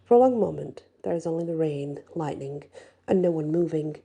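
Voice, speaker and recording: A woman reads a fantasy tale in a very dark, mellow voice with a breathy husk. Her tone sits low and creaks into fry at times. She tells it softly and expressively, ASMR-style. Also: expressive, moderate pace. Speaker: storyteller reading a fantasy audiobook. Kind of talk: ASMR-style first-person dramatic story narration.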